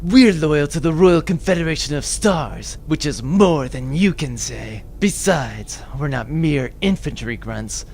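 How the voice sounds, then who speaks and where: A man's voice: on the high side, expressive, a little slow, gravelly, hardly any pauses, darkish tone, a little hiss or hum. A man playing a proud, stiff, ageing space soldier in a comedic sci-fi audio drama. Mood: proud, indignant.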